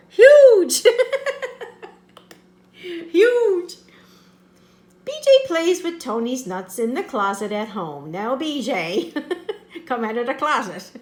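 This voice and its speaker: A woman's voice: fairly high pitch, sing-song, very slow, pauses often, a little mellow. A woman reading a picture book aloud, constantly breaking into giggles and making teasing asides. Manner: constant giggling, cracks up between lines. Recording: roomy, echoey sound.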